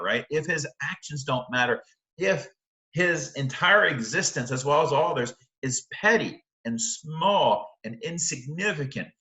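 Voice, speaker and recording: A man's voice: mid-range voice, bright, forceful tone. A middle-aged man giving an explanatory literature lecture in an emphatic way, often posing rhetorical questions. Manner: emphatic, uses rhetorical questions and check-in tags. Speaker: literature teacher. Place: indoor room, close to a webcam. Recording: roomy, echoing sound.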